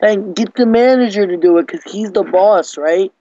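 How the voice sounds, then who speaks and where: A young man plays a whiny little schoolboy in a prank phone call, high in pitch. He pleads politely and hesitantly, in childlike phrases, over slightly muffled, dry computer audio. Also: moderate pace, dark tone, no room sound. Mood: pleading.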